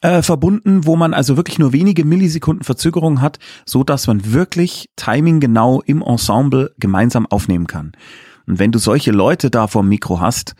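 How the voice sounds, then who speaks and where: A man's voice: mid-range voice, a little fast, dark tone, no room sound, studio quality. A man speaking as a guest director in a casual interview, explaining things in chatty, enthusiastic detail. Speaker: guest, audio drama director.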